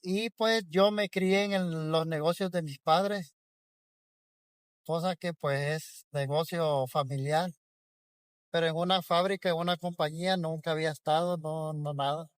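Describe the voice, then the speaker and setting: A man in his forties from El Salvador with a Salvadoran accent and a fairly high voice tells a personal story. His delivery is loose and conversational, and he restarts his sentences often. The recording is dry and close. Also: steady tone, measured pace, smooth, no echo. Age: 40s.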